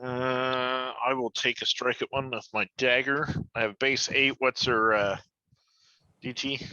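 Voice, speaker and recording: Sharp bright-voiced man, slightly lo-fi sound. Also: medium pitch, fairly even intonation, a little slow, clear, resonant tone, no echo. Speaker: tabletop game player. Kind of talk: casual game-table talk. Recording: slightly dull, lo-fi voice recording.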